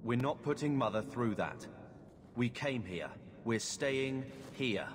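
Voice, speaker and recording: A man's voice: mid-range voice, lively intonation, slow pace, a crowd in the background. An actor playing a resolute, blunt young man, a protective brother in a video game.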